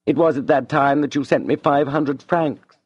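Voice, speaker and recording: A cool, calculating young man who speaks in a measured, resolute way, read by a male narrator lifting his voice slightly. The recording is dry and a little dull, with a lo-fi sound. It is a storybook voice that stays composed. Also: on the high side, steady tone, slightly rough, no echo, pitched above the performer's own voice. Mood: reproachful. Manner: earnest, measured, resolute delivery. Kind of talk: audiobook reading of a classic novel.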